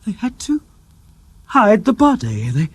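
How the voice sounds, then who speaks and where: An actor playing a male clairvoyant in a trance in a radio drama, with a halting, hushed, breathy delivery. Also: high voice, huge pitch swings, no echo, full character voice.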